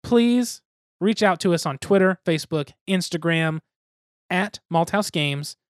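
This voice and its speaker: A young man with a fairly high voice co-hosts a casual podcast, rambling along and joking at his own expense. The sound is dry and close, with no room around it. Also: unhurried, dry recording. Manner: rambling, self-deprecating, jokey. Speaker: podcast co-host.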